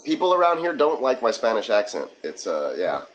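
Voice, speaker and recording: A man co-hosting a casual sports watch-party livestream from his garage, chatty and quick to laugh. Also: mid-range voice, wildly swooping pitch, highs cut off, a little hiss or hum. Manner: laughs often, rambling and chatty. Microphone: phone.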